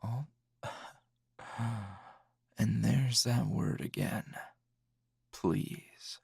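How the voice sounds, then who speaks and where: A man playing a gruff, flirtatious male anime hero in an intimate ASMR roleplay, gravelly and husky, teasing and halting. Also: lowish voice, fairly even intonation, a little mellow, dry recording, studio quality, full character voice. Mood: flustered, flirtatious. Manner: slow, teasing, halting with sighs and chuckles.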